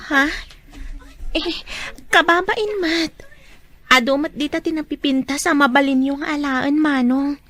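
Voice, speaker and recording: A woman's voice: high voice, unhurried, a bit bright. A woman playing a shy, modest young student in a Filipino radio drama, with a hesitant, demure manner. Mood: bashful.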